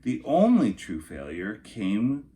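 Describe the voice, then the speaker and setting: Animated, low-voiced man reading to children. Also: slow pace, slight background noise. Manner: expressive, addresses young children directly. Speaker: kindergarten teacher reading aloud to pupils. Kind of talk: animated children's storybook read-aloud.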